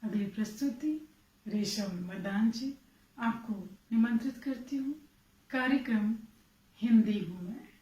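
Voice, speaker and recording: A woman from Bengal hosting an event, introducing speakers in slow rhyming verse. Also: on the high side, dark tone. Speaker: event host. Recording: roomy sound from a distance.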